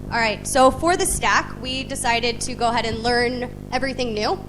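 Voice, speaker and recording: A woman's voice: a little high, normal speed, low-quality recording. American woman presenting, music behind. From United States. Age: 30s. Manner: upbeat, demoing an app live. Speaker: bootcamp student presenter. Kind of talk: demo day product presentation. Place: event stage, live presentation. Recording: loud music in background.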